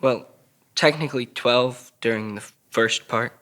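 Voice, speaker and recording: A man's voice: mid-range voice, a little slow, fairly bright tone, close to an everyday voice. A man acting a defiant, foul-mouthed teenage boy accused of murder, sarcastic and slangy, in a crime drama table read. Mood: bitterly sardonic.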